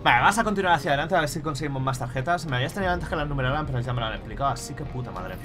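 A man's voice: medium pitch, animated, racing. A young man from Spain commentating on his own gameplay at home, swearing freely and muttering to himself as he plays, on a headset mic. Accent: Spain Spanish. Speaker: streamer. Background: game music.

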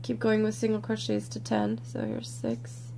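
A woman with a croaky, congested voice leads a step-by-step craft tutorial in a relaxed, chatty way, sometimes dropping to a near-whisper as she counts stitches. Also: medium pitch, normal speed, slight background noise. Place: indoors at a worktable, overhead camera on hands.

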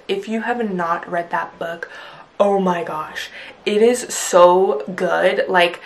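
A woman's voice: on the low side, creaky, fairly bright tone. A woman in her twenties whose first language is Punjabi, chatting to camera in a small bedroom, gushing and full of "like" fillers. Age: 20s. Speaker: vlogger. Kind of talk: casual chat to camera.

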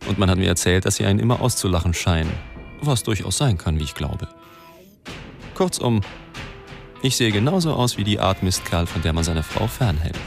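A man's voice: low-pitched, expressive, normal speed, creaky. An actor playing a self-loathing, cynical reporter who narrates his own story in a brooding, confessional way. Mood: wry, brooding. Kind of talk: first-person radio play narration. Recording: music behind the narration.

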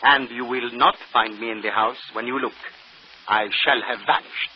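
Theatrical magician, acted by a man, formal grand delivery, medium pitch, muffled noisy radio drama. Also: fairly even intonation, moderate pace, natural voice. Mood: grandly confident. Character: theatrical, boastful stage magician, courteous and confident. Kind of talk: old-time radio mystery acting.

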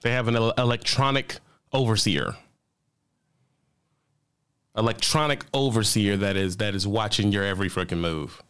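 Man, lowish voice, casual reaction stream, blunt, slangy, emphatic, home studio mic. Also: fairly even intonation, moderate pace, long pauses, fairly bright tone, clean tone. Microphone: studio mic on boom, headphones.

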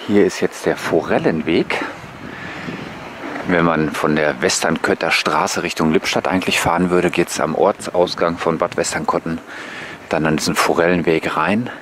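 A man giving relaxed, musing, casual commentary to viewers as a vlogger on a bike tour. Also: low voice, moderate pace. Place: outdoors on rural paths and waterside. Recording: noisy outdoor recording with passing vehicles.

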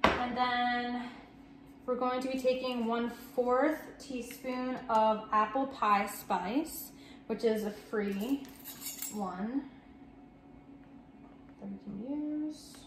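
Woman, 30s, chatty home-cooking vlogger, slightly high voice, roomy kitchen sound. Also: steady tone, slow, long pauses. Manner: chatty and upbeat, explaining as she works. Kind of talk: casual step-by-step cooking demo. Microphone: fixed camera at table distance. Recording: echoey kitchen with faint noise.

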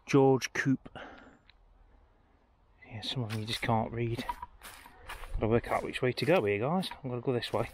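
A man's voice: mid-range voice, normal speed, long pauses. A man with a mid-range voice talks casually to his vlog viewers. He is chatty and informal, thinking aloud while out walking. The recording is outdoors, with slight noise behind his voice. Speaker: travel vlogger. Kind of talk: casual walk-around vlog commentary. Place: outdoors, walking around a village churchyard.

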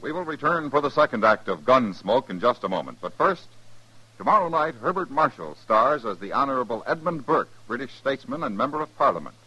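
A man's voice: mid-range voice, big pitch swings, fairly quick, pushed and bright. An American man working as a radio announcer, reading a scripted 1950s network announcement. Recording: muffled 1950s radio broadcast recording.

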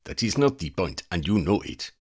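A man voicing a stern senior male wizard minister in an audiobook reading, gruff and growling. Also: low voice, big pitch swings, moderate pace, darkish tone, full character voice.

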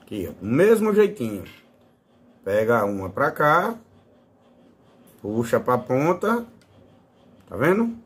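Lively, slightly husky Northeastern Brazilian man. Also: medium pitch, slow, pauses often. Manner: informal, fond of diminutives. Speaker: fishing channel host. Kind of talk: casual hands-on tutorial.

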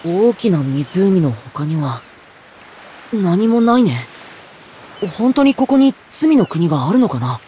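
A woman's voice: fairly low pitch, very animated, slow pace, pauses often, mellow, slight background noise. A woman voicing a kind, earnest teenage boy hero in a Japanese game, with a wide-eyed, questioning manner. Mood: puzzled. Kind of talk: Japanese anime game voice acting.

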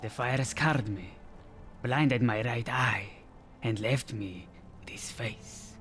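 A man playing a somber, philosophical male cat-folk necromancer in a fantasy game, with a deliberate, theatrical delivery. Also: mid-range voice, fairly bright tone, faint music behind. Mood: grim. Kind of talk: fantasy video game companion voice acting.

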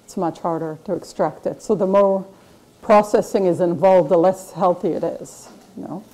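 Mellow-voiced woman teaching a cooking class. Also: on the low side, steady tone, moderate pace, poor audio. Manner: chatty, explanatory, many fillers. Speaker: chef and cooking instructor. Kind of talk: casual cooking demonstration. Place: large kitchen classroom indoors.

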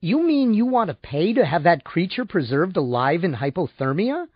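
An unctuous male insurance salesman from a novel, put on by a male narrator. He is fussy, pompous and easily shocked, with deference in a high, animated voice that swings widely. The recording is dry. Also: normal speed, slightly rough, no room sound, lo-fi sound, heavily acted. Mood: indignant, pained.

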